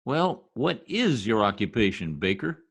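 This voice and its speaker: A man's voice: on the low side, sing-song, measured pace, gravelly, fairly bright tone, husky, full character voice. A man playing a brash, folksy census taker in old-time radio comedy style, slangy, blustering and easily exasperated.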